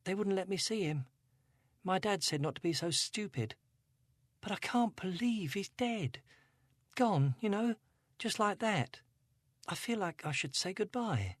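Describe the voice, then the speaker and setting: A man reading aloud, voicing a grieving, anxious teenage girl in a storybook with a soft, breathy voice. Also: sits high, lively intonation, unhurried, long pauses, no room sound, studio quality, voice pushed up. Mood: upset.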